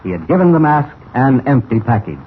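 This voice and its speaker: A man's voice: medium pitch, very animated, brisk, breathy edge. A man narrating a radio serial in 1940s announcer style, dramatic and suspenseful. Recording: tinny old radio serial, faint noise.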